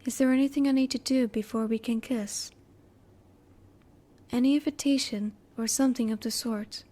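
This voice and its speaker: A woman voicing a curious, emotionally reserved female alien in an intimate roleplay audio, speaking in a formal, measured, inquisitive way. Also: a little high, fairly even intonation, warm tone, lightly acted. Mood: curious, polite.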